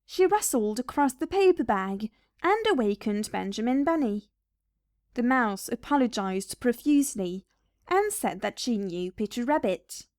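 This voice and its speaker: A woman reading a children's story aloud as narrator, expressive and measured. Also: a little high, dry recording, clean studio sound.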